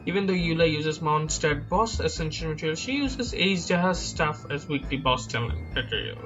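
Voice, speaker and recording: A man's voice: a little high, expressive, normal speed, lo-fi sound. Man, light voice, chatty and hedging gaming commentary, music bed faint. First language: not Chinese. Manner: casual, self-deprecating, hedging with many uhs. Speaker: gaming YouTuber. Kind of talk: speculative game commentary over slides. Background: background music.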